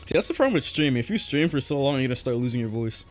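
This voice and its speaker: Young male streamer, mid-pitched, loose and jokey, voice worn from long talking, faint game music behind. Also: sing-song, racing. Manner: loose, joking, chatty with viewers, laughs often. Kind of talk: casual live-stream chat while gaming.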